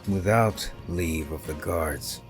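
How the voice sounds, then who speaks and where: A man voicing a gruff, scornful ninja master with a growling delivery in a fantasy audio story. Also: low-pitched, slow. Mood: defiant. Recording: music behind the voice.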